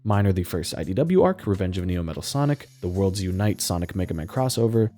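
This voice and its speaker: A young man talking about comics on his own channel in an excited, gushing fan manner. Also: low voice, fast talker. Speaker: YouTube commentator. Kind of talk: enthusiastic fan commentary.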